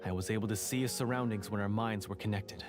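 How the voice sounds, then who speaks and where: A man's voice: fairly low pitch, fairly even intonation, lightly acted. A man playing an earnest, remorseful young knight. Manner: earnest, measured. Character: remorseful young male knight seeking redemption. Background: game music.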